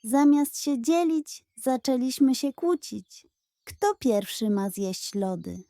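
A woman voicing an excitable hen in a children's audio story, clucking as she talks. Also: sits high, slow, dry recording, clean studio sound, voice pushed up, heavily acted. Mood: sheepish. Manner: clucks before speaking.

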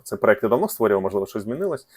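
A man's voice: fairly low pitch, sing-song, rapid-fire, a little mellow. A man hosting a casual coding tutorial, speaking in slangy, informal language and thinking aloud with hesitations. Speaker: programming tutorial host.

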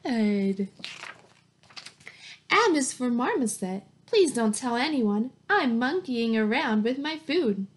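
A woman's voice: a little high, sing-song, takes it slowly. A woman in her thirties reading a picture book aloud to young children as a teacher, in an encouraging, sing-song way. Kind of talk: children's picture-book read-aloud with simple questions and praise. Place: small plain indoor room at home. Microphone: webcam or laptop mic at arm's length.

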